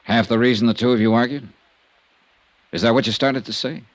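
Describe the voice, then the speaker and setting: An American actor playing a hard-boiled, wry insurance investigator in an old time radio detective drama, probing with dry skepticism. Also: male voice, medium pitch, steady tone, brisk, lightly acted. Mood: probing, skeptical. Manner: probing, dryly skeptical questioning. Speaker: lead detective and narrator.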